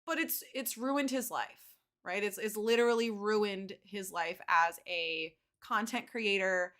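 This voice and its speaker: Woman, bright forceful tone, casual teacher-style commentary, emphatic, repeats words for stress. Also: mid-range voice, measured pace. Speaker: teacher commenting on a video for students.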